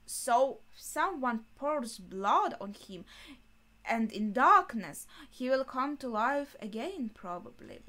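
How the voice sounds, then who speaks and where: A woman in her twenties giving casual reaction commentary, thinking aloud in a halting way in a small bedroom. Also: fairly high pitch, fairly bright tone. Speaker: reaction video host.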